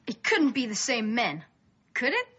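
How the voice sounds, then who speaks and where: A woman playing an earnest, anxious, curious young boy in a radio play, husky, with urgent questioning. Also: a little high, huge pitch swings, unhurried, bright, forceful tone, slight background noise, full character voice. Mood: puzzled. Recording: dull, muffled lo-fi radio play.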